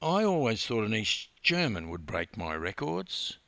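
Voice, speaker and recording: A man's voice: lowish voice, big pitch swings, unhurried, creaky, bright, forceful tone, no echo, exaggerated voice. A man voicing a proud, snappish woman champion in a narrated story. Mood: inwardly resentful. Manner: snappish, brooding. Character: proud, irritable woman swimming champion losing her records.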